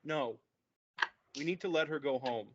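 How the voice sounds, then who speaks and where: Naive confused son, read aloud, halting questions, mid pitch, dull lo-fi sound. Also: natural voice. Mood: shaken. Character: sheltered, confused young son, childlike. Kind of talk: amateur table read. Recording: dull lo-fi recording.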